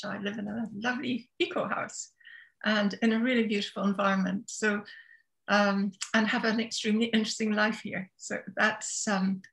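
A woman in her seventies from Scotland speaks as a guest in an interview. Her voice sits at a middle pitch, and she phrases things thoughtfully, with some hesitation, in a reflective, conversational storytelling style. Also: vocal fry, fairly bright tone, poor audio. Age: 70s. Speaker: interview guest, author and therapist.

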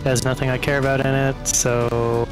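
A man's voice: mid-range voice, flat delivery, normal speed, rough recording. A man playing a tabletop game, chatting casually with the group. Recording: music and keyboard typing behind voice.

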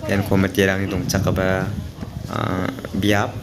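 A man's voice: low voice, monotone, slow, over a noisy crowd. A man chatting casually through a vlog at an outdoor fishing event, recorded on a handheld phone. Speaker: vlogger. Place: outdoors at a crowded village fishing pond.

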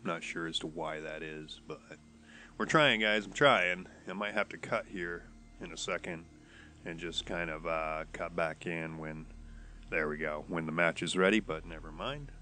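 A man's voice: sits low, moderate pace, sharp tone. A man with a stuffy nose from a cold, casually commenting while playing a game, rambling and hesitant with many restarts. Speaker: gaming YouTuber.

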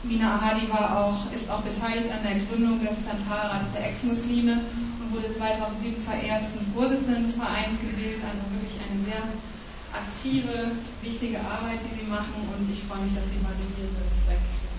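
A woman's voice: medium pitch, flat delivery, fairly quick, noisy background. A young woman moderating a panel, introducing guests hesitantly with frequent fillers. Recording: echoey distant room mic, dull sound.

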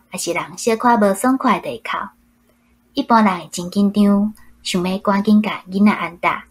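A woman's voice: mid-range voice, husky. A woman with a Taiwanese accent, a book club member reading a scripted reflection aloud.

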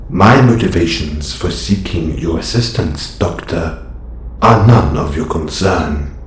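Robot superhero played by a male actor, audio roleplay. Deep, formal, measured and composed, echoey space with faint noise. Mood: firm, guarded. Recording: roomy echo, slight background noise.

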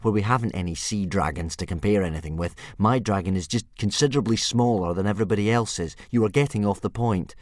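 A man's voice: on the low side, fast talker, few pauses, no echo, clean studio sound, voice pushed up, lightly acted. A man voicing a gloomy, put-upon young Viking boy who talks in a sulky, complaining way.

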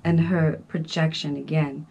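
A woman musician giving casual reaction commentary in a calm, soft-spoken way with playful asides. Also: deep voice, a little slow, creaky, muffled recording, a little hiss or hum.

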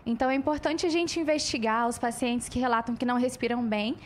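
A young woman with a Brazilian accent, an ENT doctor calmly explaining to a podcast host in a studio on a handheld mic. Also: fairly high pitch, fast talker, slight background noise. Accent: Brazilian Portuguese. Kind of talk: explanatory interview answers.

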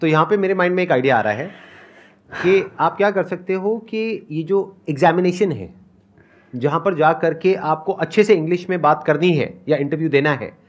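A man, hosting a talk show on a studio stage and calmly explaining a suggestion. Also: fairly high pitch, normal speed, muffled recording. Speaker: host. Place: indoor studio stage with a live audience. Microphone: headset mic.

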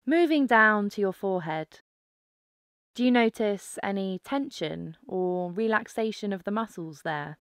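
Female meditation guide: clear, smooth tone, very measured pace, calm instructive delivery. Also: mid-range voice, no room sound, studio quality. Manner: calm, instructive, unhurried with pauses. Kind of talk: guided mindfulness meditation narration.

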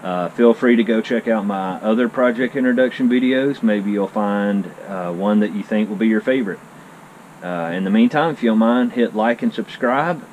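A man's voice: on the low side, moderate pace, darkish tone, muffled recording. A man, hosting a casual car vlog while walking around outdoors, chatty and enthusiastic, often saying "I mean". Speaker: car channel host. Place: outdoor driveway beside a garage. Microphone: handheld action camera. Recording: faint outdoor background noise. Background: faint outdoor ambience.

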